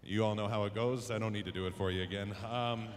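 A middle-aged American man giving a halting, heartfelt, unscripted thank-you speech into a handheld microphone. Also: lowish voice, steady tone, noisy background. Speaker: public address announcer. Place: on the ice in an indoor arena. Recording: echoing arena PA.

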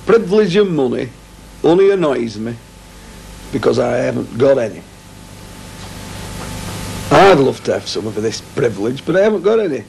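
A middle-aged Lancashire man with a gravelly, rough edge to his voice, speaking in a blunt, wry and joking way. He sits at home for an interview on an old 1980s television film, with a little hiss behind him. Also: mid-range voice, big pitch swings, moderate pace, long pauses, a little mellow, low-quality recording. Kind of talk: casual opinion chat. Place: home living room. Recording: 1980s TV film with faint noise.

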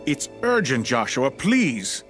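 Earnest middle-aged suitor, bashful, lively pitch, hesitant delivery, animated Bible story, background music. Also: male voice. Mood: urgent, unsure. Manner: halting, earnest. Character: gentle, bashful landowner in love.

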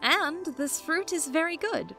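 An actress playing a kind, earnest young female sage in an audio drama, speaking gently. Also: extremely high pitch, very animated, unhurried, creaky, faint music behind, full character voice.

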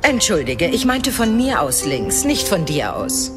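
A woman's voice: on the low side, very animated, a little slow, over music. A woman playing a terse, determined elven ranger captain in a dramatized fantasy audiobook. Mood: dry, wry.

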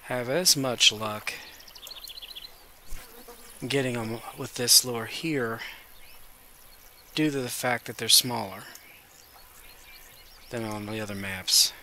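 A man with a head cold narrates his gameplay in a relaxed, chatty way, his voice bright and forward. He sounds a little congested. There is a faint hiss behind him. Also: mid-range voice, slow pace, long pauses. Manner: relaxed, chatty, talks to viewers as guys. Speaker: gaming video host. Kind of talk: casual gameplay commentary.

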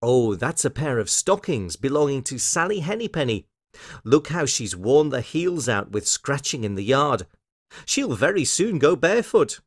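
A man's voice: mid-range voice, sing-song, moderate pace, dry recording, clean studio sound, voice pushed up. A man voicing a fussy, eager little female hedgehog washerwoman from a children's storybook, with flustered, hesitant politeness. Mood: chatty, fussing.

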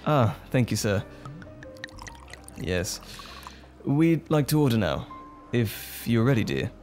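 A man playing a gentle, bashful romantic date in an ASMR roleplay, speaking tenderly and a little hesitantly. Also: medium pitch, lively intonation, slow pace. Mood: cheerful, flustered. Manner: tender, hesitant, lightly chuckling flirtation. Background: music.

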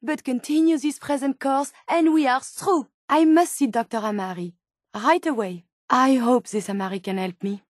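A woman's voice: high-pitched, a little mellow, no echo, studio quality. An actress playing an earnest, curious female medical robot turned human in a video game, with a French accent and precise, formal diction. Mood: stern, reproachful, then concerned, earnest. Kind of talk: video game character dialogue.

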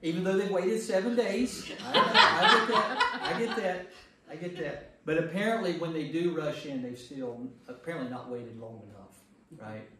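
A man's voice: on the high side, animated, moderate pace. An American man, a pastor leading an informal Bible study, talking conversationally and repeating phrases for emphasis. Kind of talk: informal group teaching and discussion. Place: echoey indoor group meeting room. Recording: echoey room, distant mic, faint noise.

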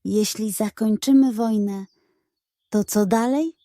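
A woman voicing a stern, suspicious old beast war chief in a children's audio story, gruff and commanding. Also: takes it slowly, mellow, dry recording, clean studio sound, natural voice. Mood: grudging, skeptical. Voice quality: low, hoarse.

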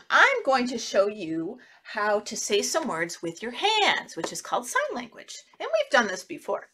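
A middle-aged woman hosting children's story time, reading and talking expressively with drawn-out, exaggerated words. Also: on the high side, pushed and bright. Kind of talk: animated picture-book read-aloud for young children. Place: small indoor room.

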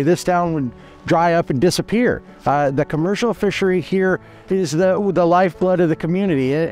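High-voiced man touring a creekside hatchery. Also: darkish tone. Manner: earnest, matter-of-fact with frequent restarts. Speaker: hatchery manager giving a tour. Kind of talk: on-location explanatory tour.

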